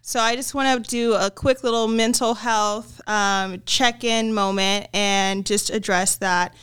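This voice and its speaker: A woman in her early thirties co-hosting a podcast, upbeat and quick to laugh. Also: medium pitch, fairly even intonation, clean tone, no echo. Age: 30s. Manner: warm-hearted, giggly, upbeat. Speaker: podcast co-host. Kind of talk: casual chat.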